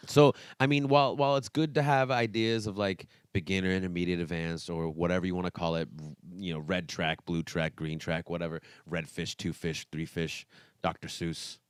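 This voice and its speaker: A man's voice: deep voice, fairly even intonation, normal speed, clean tone, dry recording, clean studio sound. A man co-hosting a podcast, rambling casually through jokes and opinions. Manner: joking, mock-indignant, rambling. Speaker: podcast co-host, dance teacher.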